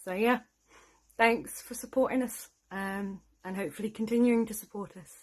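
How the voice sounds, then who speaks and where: A woman's voice: medium pitch, fairly even intonation, moderate pace. A woman voice actor giving an unscripted thank-you message in an earnest, hesitant way.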